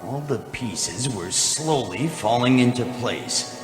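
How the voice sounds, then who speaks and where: An actor playing a weary, cynical, wry private eye in a deadpan radio noir parody. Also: male voice, mid-range voice, takes it slowly, roomy sound, over music.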